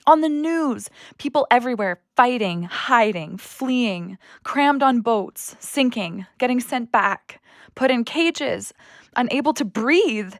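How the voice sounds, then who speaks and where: An earnest young woman in an audio drama, restless and anxious. Her voice is fairly high and takes its time, recorded close and dry. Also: animated, slow, no echo. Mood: anxious, yearning. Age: young adult. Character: restless, anxious young daughter longing for adventure. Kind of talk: audio play acting.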